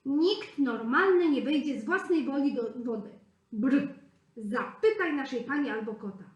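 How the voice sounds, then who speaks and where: Storybook hen, stern and scolding, loud and a bit high , as a woman reads her, roomy sound. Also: a little slow, lo-fi sound. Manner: sharp, scolding. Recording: roomy echo.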